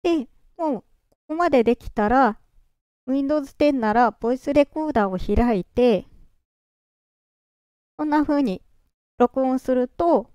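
High, smooth voice-changed tutorial voice. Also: measured pace, long pauses, no room sound. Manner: calm, step-by-step explaining. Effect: pitch-raising voice changer. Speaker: software tutorial presenter. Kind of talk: screen-recorded software walkthrough.

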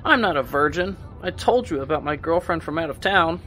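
A man's voice: on the high side, animated, fairly quick, bright, forceful tone. A man playing an eager, clingy, geeky teenage boy in an audio drama. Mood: defensive. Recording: music under the voice.

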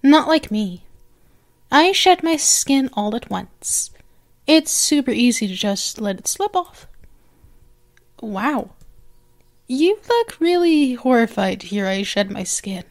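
A woman's voice: high-pitched, full character voice. A woman voicing a possessive, eager young lamia girl in an ASMR roleplay, coaxing and hesitant, often restarting her phrases.

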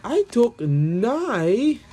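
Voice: medium pitch, big pitch swings, very slow, mellow, breathy edge, pitched above the performer's own voice, full character voice. A performer voicing a cheeky, eager young cartoon hedgehog boy in an amateur comic dub. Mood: excited.

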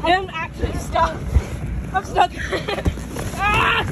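Excitable teenage girl on a bouncy course. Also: very high voice, drawn-out words, a crowd in the background, background noise. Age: teens. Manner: breathless, excitable, squealing while climbing. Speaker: vlogger. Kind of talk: casual self-filmed commentary. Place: outdoor bounce house obstacle course. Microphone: body-worn action camera.

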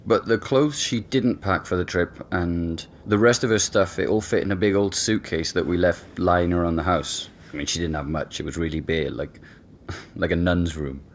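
An actor playing a hesitant, reflective young man recalling a former flatmate in an audio drama. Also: low-pitched, normal speed, a little hiss or hum. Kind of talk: conversational audio drama acting.

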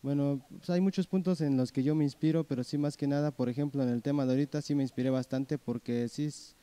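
A man in his twenties, a singer-songwriter answering interview questions in a relaxed way with many fillers, on a handheld mic in a small room. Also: medium pitch, fast. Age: 20s.

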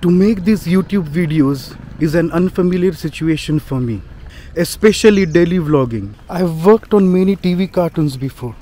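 A man in his thirties with an Indian accent, speaking English as a second language, talking to a phone camera at arm's length in a measured, reflective way. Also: fairly high pitch, warm tone, soft background music. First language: not English. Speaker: vlogger. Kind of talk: daily vlog, talking to camera. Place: outdoors in a leafy park and street. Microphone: phone camera held at arm's length. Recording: outdoor phone audio with clear background noise.

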